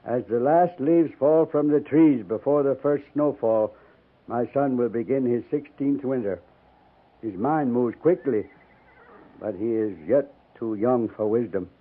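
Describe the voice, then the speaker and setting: A man's voice: mid-range voice, natural voice. Tinny old-radio dying old chief, played by a man. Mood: grave, reflective. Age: older. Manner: solemn, measured, formal poetic phrasing. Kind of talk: 1950s radio drama acting. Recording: narrow tinny 1950s radio recording.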